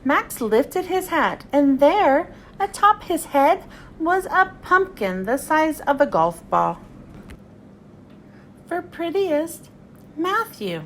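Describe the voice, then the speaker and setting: A woman's voice: high-pitched, very animated, takes it slowly, slight background noise. A woman reading a children's picture book aloud and playing up every sound word with animation. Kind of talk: read-aloud storytelling for kids.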